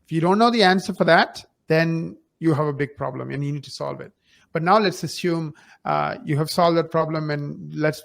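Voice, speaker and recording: A man's voice: fairly high pitch, vocal fry. A man giving advice conversationally as a podcast guest, emphatic and fond of rhetorical questions and acted-out examples. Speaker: podcast guest, marketing company founder.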